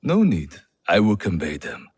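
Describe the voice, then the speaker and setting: An actor playing a smooth, scheming samurai general in a video game, with courteous, formal speech. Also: male voice, fairly low pitch, wildly swooping pitch, unhurried, darkish tone, full character voice. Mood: smooth, apologetic. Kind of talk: video game cutscene acting.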